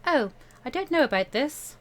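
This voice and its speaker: A woman's voice: sits high, animated, moderate pace, voice pushed up. A woman voicing a squeamish, stubborn teenage student girl in a visual novel, dramatic and protesting. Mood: nervous, reluctant.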